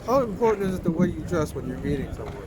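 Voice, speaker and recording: A man's voice: on the high side, sing-song, rapid-fire. A man doing a casual interview in a city park, asking questions in an agreeable, conversational way and following up on answers. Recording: outdoor recording with clear traffic noise.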